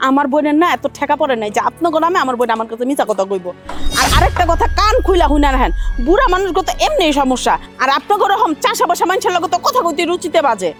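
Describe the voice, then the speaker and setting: A woman's voice: high-pitched, very animated, fast talker, fairly bright tone, faint music behind. A woman playing a haughty, sneering city sister-in-law. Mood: contemptuous. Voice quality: sneering, scolding. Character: haughty city woman scorning rural in-laws. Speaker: actress in a family drama.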